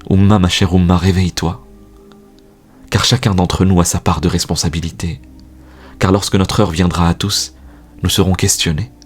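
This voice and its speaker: Deep, husky man reciting solemn poetry. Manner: earnest, exhortatory, rhetorical questioning. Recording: music bed behind the voice. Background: music.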